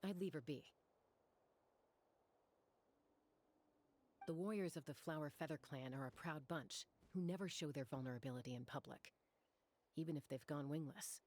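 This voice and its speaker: Low-voiced, composed warrior woman. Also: brisk, a little mellow. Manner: composed, reassuring. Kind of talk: video game voice acting.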